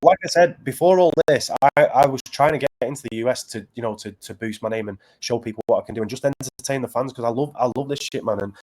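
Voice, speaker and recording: A man with a Northern English accent from Preston, talking as a battle rapper guest in casual interview chat, earnest and halting with many restarts. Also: mid-range voice, a little mellow, rough recording. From Preston, England.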